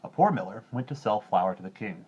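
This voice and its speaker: Male narrator for fairy tales: very animated, rough-edged tone, expressive read, slightly muffled lo-fi sound. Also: lowish voice, a little fast. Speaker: storyteller reading aloud.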